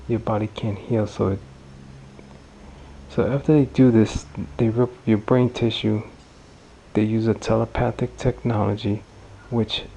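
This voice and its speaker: A man's voice: fairly low pitch, vocal fry, mellow, a little hiss or hum, rough recording. A man recording a spoken diary-style audio log, rambling and repeating and correcting himself as he goes. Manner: rambling, repetitive, self-correcting.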